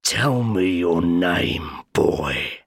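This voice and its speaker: A man voicing a rough, menacing escaped convict in a storybook reading, speaking slowly in a low, threatening growl. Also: big pitch swings, no room sound, clean studio sound, full character voice. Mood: gruff. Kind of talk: audiobook character voice.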